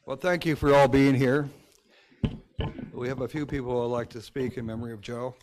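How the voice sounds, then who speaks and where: A man's voice: medium pitch, fairly even intonation, a little fast, a little mellow. A man opening a memorial service as a family member, speaking plainly and informally as he introduces the speakers. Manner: plain, brief, informal welcome. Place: funeral home chapel. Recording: distant, echoey room with background noise.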